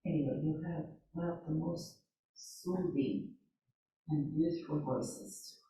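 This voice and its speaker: A man's voice: on the high side, steady tone, takes it slowly, dark tone, a little hiss or hum. A man in a workshop group, musing aloud and searching for words. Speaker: workshop participant. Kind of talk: reflective comment in a group discussion. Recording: echoey room, far from the mic.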